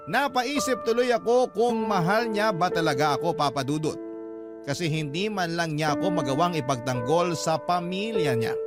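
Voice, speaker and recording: A Filipino man narrates a scripted personal story in radio storytelling style. His voice sits fairly high, with loud music playing behind it. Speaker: radio storyteller reading a letter. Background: prominent background music.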